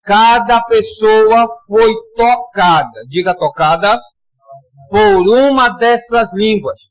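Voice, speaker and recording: A man from Pará, Brazil, preaching a sermon in a conversational way, calling for amens and reading scripture slowly with emphasis. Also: high voice, no room sound, poor audio. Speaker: pastor.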